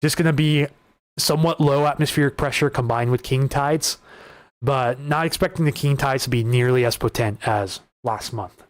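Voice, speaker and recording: A man hosting a live weather stream, talking through wind data and viewer questions in a casual, conversational way, often restarting his sentences, his voice starting to go hoarse. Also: mid-range voice, moderate pace, dry recording. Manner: conversational, repeats words and restarts while reading data. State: voice getting hoarse. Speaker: weather livestream host.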